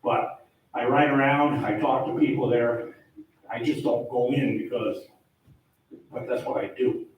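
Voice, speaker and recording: A man, older, a town board official talking loudly and rambling at a public meeting. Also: mid-range voice, steady tone, unhurried, pauses often. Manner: loud, chatty, rambling. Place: town hall meeting room. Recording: echoey hall, distant mic, faint noise.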